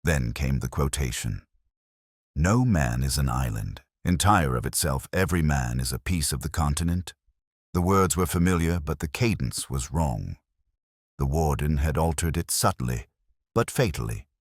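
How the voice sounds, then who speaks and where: A man playing a world-weary, melancholy British police inspector, narrating a detective audio drama in a measured, literary way. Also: very deep voice, expressive, dry recording, studio quality. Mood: wry, sombre.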